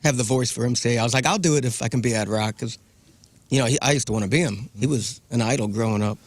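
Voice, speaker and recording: A man's voice: mid-range voice, quick pace, dry recording. A man in a tribute band chatting as a guest, with a nasal voice and brief, friendly remarks. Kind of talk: casual studio chat.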